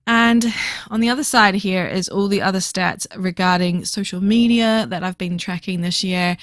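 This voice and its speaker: A woman recording a casual voiceover for her reading journal video, chatty and fast-talking with lots of fillers, her voice slightly hoarse. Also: fairly low pitch, no room sound. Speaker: book vlogger.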